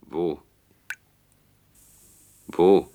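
An actor playing the anguished, halting brain of a dead man kept in a tank, in a science-fiction radio drama. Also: phone-quality sound. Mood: dazed, disoriented. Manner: halting, laboured, slow.

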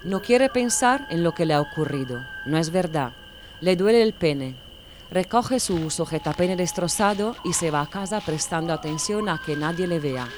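A woman narrates an audiobook in a calm, measured way. Her voice sits somewhat low. Clear background noise runs under the reading.

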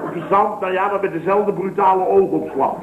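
A man spins a rambling, mock-pompous tall tale with a dry delivery, his voice set a touch high for a man. It comes through a thin, phone-like old radio broadcast with a clear hiss underneath and a roomy echo. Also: brisk. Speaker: comic storyteller. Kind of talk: scripted radio comedy sketch.

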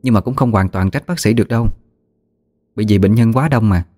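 A man's voice: on the low side, a little fast, darkish tone, natural voice. A man voicing an admiring, talkative young woman with a Southern Vietnamese accent, respectfully advising her elders in a story. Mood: earnest explaining. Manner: eager, chatty, respectful. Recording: music behind the voice.

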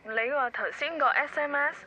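Actress as a high-voiced, evasive Cantonese girlfriend. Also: moderate pace, noisy background, lightly acted. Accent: Hong Kong Cantonese. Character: evasive, quietly dissatisfied young girlfriend. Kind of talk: radio drama acting.

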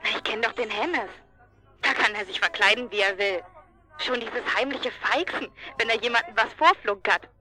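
Very bright, high, excitable daughter. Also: female voice, moderate pace. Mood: excited, amused. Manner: breezy, slangy, gossipy storytelling. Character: chatty, excitable daughter, colloquial and cheeky. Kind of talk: German radio drama acting.

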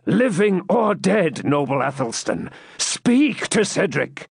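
A man voicing a stern, proud old Saxon lord and father in a forceful, declamatory storybook manner with archaic speech. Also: fairly high pitch, sing-song, measured pace, no room sound, clean studio sound, full character voice. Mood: awestruck, urgent.

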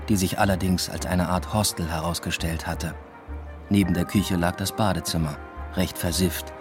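A man's voice: low voice, close to an everyday voice. An actor playing a searching, lovelorn young man adrift abroad, narrating a radio drama in a reflective, understated way.

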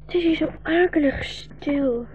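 High-voiced, plaintive young boy. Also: measured pace, background noise. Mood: wary. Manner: childlike, plaintive, earnest. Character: hungry, trusting young boy, war orphan refugee. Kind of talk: 1960s Dutch radio drama acting. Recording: dull, muffled 1960s radio recording.

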